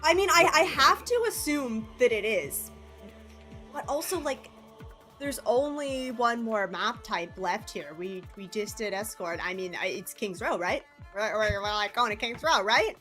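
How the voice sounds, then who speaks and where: A woman's voice: fairly high pitch, expressive, unhurried, bright, forceful tone. A woman working as an esports commentator, analysing live play in a casual way with emphatic repetition. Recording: music behind the voice. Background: background music.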